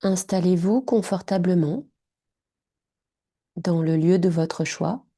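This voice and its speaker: A woman guiding a yoga nidra relaxation in short, hushed, soothing phrases. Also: on the low side, slow. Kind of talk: guided meditation.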